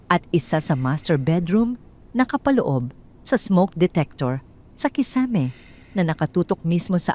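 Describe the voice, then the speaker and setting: A woman's voice: deep voice, warm tone. A woman telling a first-person drama story in a scripted, dramatic and bitter way.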